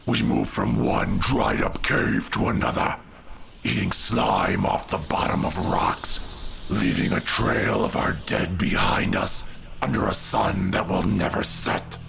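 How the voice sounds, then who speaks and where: A man playing a starving, grieving alien father turned bitter unbeliever in a motion comic, gravelly, breathy, weary and intense. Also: fairly low pitch, fairly even intonation, unhurried, fairly bright tone, a little hiss or hum, full character voice. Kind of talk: dramatic motion comic voice acting.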